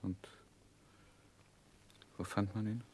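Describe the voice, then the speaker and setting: An actor playing an earnest, lovesick young gentleman who narrates his life in a measured, introspective 1960s German radio drama. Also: lowish voice, fairly even intonation, slight background noise. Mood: shocked, hushed. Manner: measured, introspective literary delivery.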